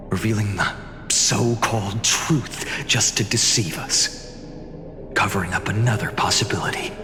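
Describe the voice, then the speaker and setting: An actor playing an earnest young male hero in a game cutscene, speaking in a measured, solemn way. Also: mid-range voice, lightly acted. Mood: accusing. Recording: long reverb over clear music.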